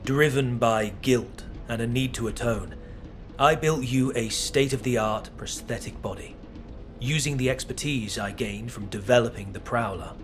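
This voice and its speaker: An actor playing a composed, calculating male scientist and war leader with formal, measured diction in a video game. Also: mid-range voice, faint music behind, lightly acted. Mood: grave, confessional. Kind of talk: dramatic video game voice acting.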